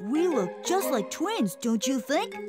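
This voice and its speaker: A woman voicing a curious, proud young boy prince in an animated storybook, speaking slowly and playfully in a high, boyish voice. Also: heavily acted. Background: music.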